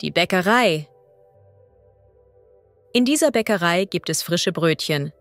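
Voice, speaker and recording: A woman as a language teacher reading idioms and vocabulary slowly and clearly. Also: on the low side, animated, a bit bright, no echo, faint music behind. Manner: slow, clear and deliberate.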